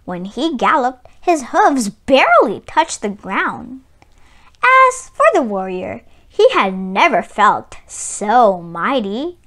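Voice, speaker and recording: A woman reading a children's picture book aloud, with expressive, deliberate phrasing. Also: on the high side. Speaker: storyteller and read-aloud channel host.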